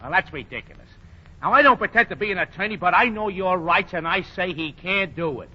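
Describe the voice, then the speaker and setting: A man's voice: sits high, lively intonation, brisk, bright, forceful tone, full character voice. An actor playing a dim, drawling young man who is the landlady's son, in a 1940s radio drama. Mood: confident. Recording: dull old radio broadcast.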